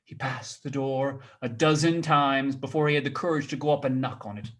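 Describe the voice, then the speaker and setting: A man reading a story aloud as a theatrical storyteller, dramatic and expressive. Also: mid-range voice, normal speed.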